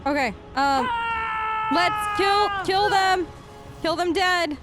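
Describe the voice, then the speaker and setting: A woman's voice: very high-pitched, slow pace, over music. A woman doing casual role-play commentary for a video game, wry and conversational, on a close microphone. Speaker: let's play host.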